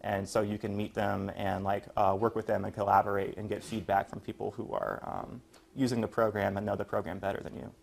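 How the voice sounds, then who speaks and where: A man's voice: on the low side, level tone, a little fast, gravelly. A young American man giving an informal explanatory presentation as a college student. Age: young adult.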